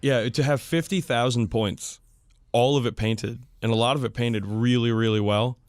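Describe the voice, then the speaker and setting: Male podcast host, hoarse and tired-voiced, medium pitch, dry close sound, loose sweary chat. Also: steady tone, moderate pace, no room sound. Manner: informal, profane. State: hoarse, voice worn out. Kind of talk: casual chat about tabletop wargaming.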